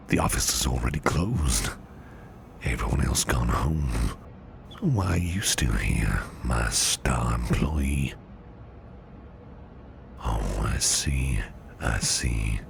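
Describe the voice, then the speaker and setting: A man playing a flirtatious, protective businessman boss in a soft, slow, soothing ASMR roleplay, with a deep, gravelly near-whisper. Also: a little hiss or hum, heavily acted. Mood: surprised, gentle. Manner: slow, soothing, teasing, repeats phrases softly.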